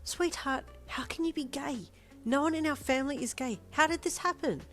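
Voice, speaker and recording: A woman's voice: animated, normal speed, a little mellow, faint music behind. A woman voicing a fussy, dramatic middle-aged mother who gasps and sings her words in a raised voice. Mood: shocked. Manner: high, gasping and sing-song.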